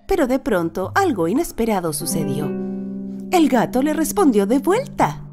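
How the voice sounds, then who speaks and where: A woman reading a children's storybook as narrator, with expressive scripted delivery. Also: on the high side, normal speed. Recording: music behind the voice.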